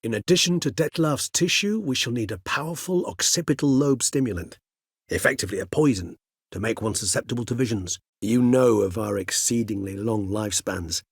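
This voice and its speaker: A man voicing a centuries-old, scholarly vampire gentleman in a fantasy game, speaking in eloquent, formal, measured phrases. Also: medium pitch, breathy edge, no room sound, studio quality. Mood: earnest, measured. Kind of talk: fantasy video game character dialogue.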